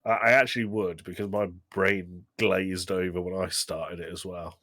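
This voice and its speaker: A man's voice: low voice, fairly even intonation, normal speed, pushed and bright, dry recording, clean studio sound. A man co-hosting a podcast in a casual comedic film-review chat, retelling things in a mocking, exasperated way with acted-out quotes and some swearing. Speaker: podcast co-host.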